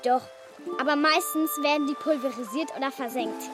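An actress playing an eager, bossy young girl captain in a children's radio play, excitable and impatient. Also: high voice, moderate pace, music in the background, lightly acted. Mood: explaining, impatient.

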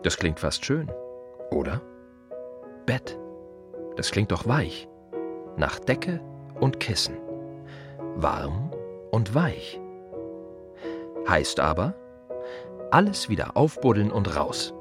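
An actor playing a plain-spoken, wry gravedigger in a radio play monologue, confiding in short halting phrases with dry irony. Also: male voice, lowish voice, very animated, music in the background. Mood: wry, reflective.

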